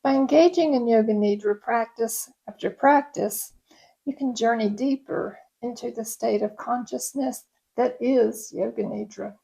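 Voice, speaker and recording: A woman yoga nidra instructor leading a guided meditation in a gentle, soothing, unhurried way. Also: fairly high pitch, gravelly, slightly rough, poor audio.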